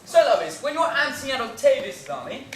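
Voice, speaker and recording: A man's voice: extremely high pitch, sing-song, fast talker, a crowd in the background. An actor playing an exasperated amateur theatre director, bossy and theatrical as he marshals his cast. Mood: brisk, organising. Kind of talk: acted comedy drama dialogue. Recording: echoey room, some distance, faint noise.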